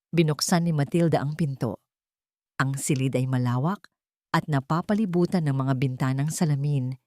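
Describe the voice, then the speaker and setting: A woman's voice: low-pitched, mellow, no room sound, studio quality. A woman with a Filipino accent reading a scripted romance story as a dramatic narrator.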